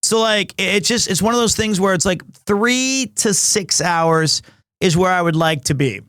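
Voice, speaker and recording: A man, chatting loudly and rambling to his stream viewers from a room at home. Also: high voice, moderate pace, no echo, studio quality. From United States. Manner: loud, big voice, rambling with many restarts. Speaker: livestreamer. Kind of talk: casual chat with stream viewers.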